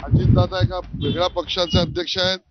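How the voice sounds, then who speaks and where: A man making a measured press statement as a politician. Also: high-pitched, flat delivery. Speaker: party politician. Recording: dull sound with faint noise.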